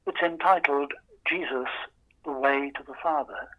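A man giving a formal, solemn Bible reading in short, measured phrases, on a muffled remote recording. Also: a little high. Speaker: scripture reader.

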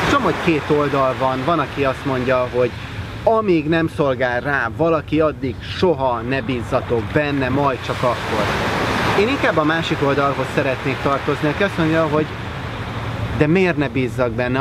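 A young man talking casually to a camera held at arm's length, outdoors by a pool and on a beach, in a halting, chatty way with frequent restarts. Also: medium pitch, background noise. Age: young adult. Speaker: vlogger. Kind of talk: casual travel vlog talk to camera. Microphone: handheld camera at arm's length.

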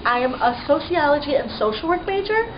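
Lively young woman introducing herself. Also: high voice, fairly quick. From Wisconsin, USA. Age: young adult. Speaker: college student. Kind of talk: self-introduction to camera. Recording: muffled, noisy camera audio.

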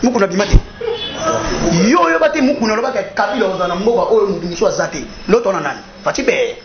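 A young Congolese man argues casually and forcefully, repeating his points. His high voice swings widely in pitch. The sound is roomy, in a casual interview setting. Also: expressive, fast, muffled recording, over a noisy crowd. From Democratic Republic of the Congo. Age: young adult. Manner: emphatic, repetitive, argumentative. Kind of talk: animated casual debate.

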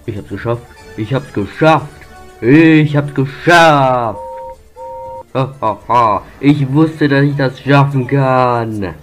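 A man's voice: medium pitch, takes it slowly, soft background music, pitched above the performer's own voice, lightly acted. A man voicing a proud, grumbling, self-important steam locomotive in a pompous, complaining way for a cartoon fan dub. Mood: triumphant.

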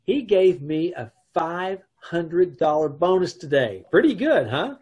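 Exasperated husband and narrator played by a man, old-time radio comedy, dry and blustering, very animated, husky voice. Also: a little high, measured pace, dull audio, full character voice. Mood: wry. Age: middle-aged. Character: exasperated, sarcastic, self-mocking husband and narrator. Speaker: amateur radio troupe actor. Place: home room, on a video call. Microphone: video call.

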